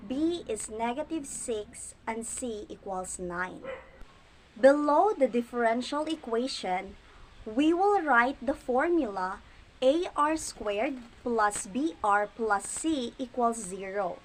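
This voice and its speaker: A woman's voice: on the high side, slight background noise, poor audio. A young woman presenting a math lesson as a student reporter, explaining each step carefully. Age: young adult.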